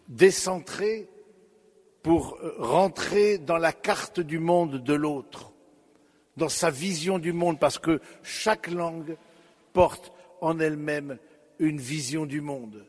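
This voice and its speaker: A man preaching in a slow, measured way, speaking straight to his listeners with pauses and fillers. His voice sits a little high for a man. A long reverb of a large church hall trails behind each phrase. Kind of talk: sermon.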